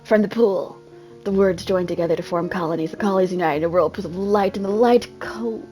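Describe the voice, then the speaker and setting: A woman's voice: mid-range voice, fairly quick, dark tone. A woman with a mid-range voice reads a game's story aloud with theatrical flair. She breaks off into casual, self-deprecating asides about her own reading, while music plays behind her.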